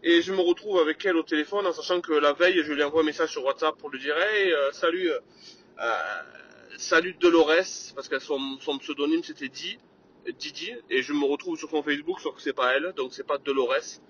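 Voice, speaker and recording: A man's voice: a little high, fairly even intonation, fast, fairly bright tone, dull audio. A man hosting his podcast, telling stories with enthusiasm and mixing in English words. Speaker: podcast host. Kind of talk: energetic solo podcast storytelling.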